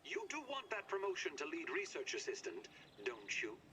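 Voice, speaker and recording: An actor playing an impatient, condescending scientist in a game audio log, curt and commanding with dry pomposity. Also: male voice, a little high, huge pitch swings, normal speed, noisy background. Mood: sly, commanding. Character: impatient, condescending male scientist, dryly sardonic.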